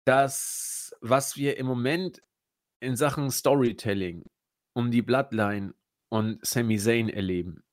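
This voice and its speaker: A man hosting a podcast in casual chat, a bit hoarse from a cold, who often restarts his sentences. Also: mid-range voice, slow. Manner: chatty, restarts sentences, laughs at own slips. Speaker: podcast host.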